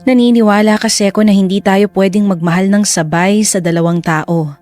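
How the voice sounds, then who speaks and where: Actress as wounded reflective narrator, mid-pitched, measured, sorrowful, first-person radio drama storytelling, faint music. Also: female voice, a little mellow, natural voice.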